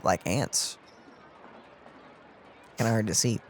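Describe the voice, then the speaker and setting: A man's voice: lowish voice, takes it slowly, slight background noise. A man co-hosting a let's play, chatting casually as he comments on the game. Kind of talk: casual gaming commentary, reading along.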